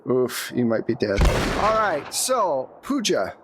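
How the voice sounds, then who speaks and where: A woman's voice: very deep voice, very animated, slow pace. A woman running a tabletop role-playing game, narrating scenes and addressing her players directly in a conversational way. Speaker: game master. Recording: noticeable background noise, room ambience.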